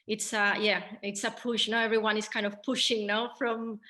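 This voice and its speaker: A woman hosting an unscripted panel discussion, speaking in a hesitant, halting way with frequent pauses. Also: mid-range voice, level tone, pushed and bright. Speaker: host of a post-show panel, theatre director.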